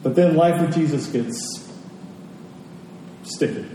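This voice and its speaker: A man's voice: fairly high pitch, slow pace, dark tone. Echoey male preacher in a big hall. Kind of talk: conversational sermon with prayer. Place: large reverberant room, church service. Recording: very echoey large hall, distant, noisy.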